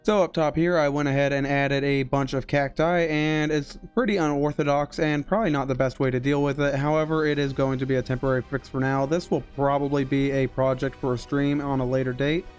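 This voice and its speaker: A young man narrating a gameplay walkthrough in a steady, explanatory way, his voice a little strained from being sick, speaking into a headset mic. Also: medium pitch, a little fast, hardly any pauses, smooth, faint music behind. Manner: explanatory, steady tutorial delivery. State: recovering from illness, voice strained. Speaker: game streamer.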